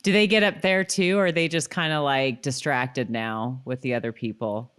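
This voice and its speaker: A woman co-hosting a podcast in a casual, chatty way, quick to laugh and to act out reactions while recapping a show. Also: a bass voice, fairly even intonation, a bit bright, clean tone. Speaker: podcast co-host. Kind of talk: casual chat recapping a TV episode.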